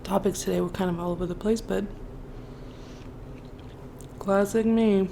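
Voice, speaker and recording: A woman's voice: mid-range voice, fairly even intonation, moderate pace, vocal fry, mellow, a little hiss or hum. A woman in her early thirties chatting casually and expressively as a mukbang vlogger, with informal asides. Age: early 30s. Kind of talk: casual chatty storytime while eating.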